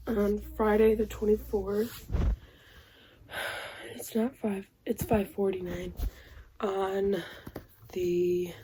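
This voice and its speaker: A young woman vlogging casually about her reading, rambling with lots of fillers, her voice going hoarse. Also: medium pitch, slight background noise, low-quality recording. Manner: rambling, filler-heavy, soft-spoken. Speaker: book vlogger. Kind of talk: casual vlog chat.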